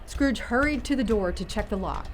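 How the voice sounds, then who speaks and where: A woman's voice: medium pitch, animated, normal speed, a little hiss or hum. A woman giving scripted storytelling narration as the narrator of an audio theater piece.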